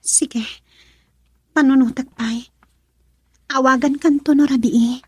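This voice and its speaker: A woman's voice: high-pitched, steady tone, slow pace, a little mellow, breathy edge, dry recording, clean studio sound, heavily acted. An actress playing a sweet, hesitant young student girlfriend who frets, in a Filipino radio drama with a Filipino accent. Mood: worried. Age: young adult.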